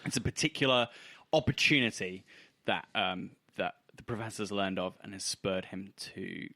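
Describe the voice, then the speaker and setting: A man narrating a tabletop role-playing game as game master in a casual, halting, explanatory way. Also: sits low, pushed and bright, no room sound.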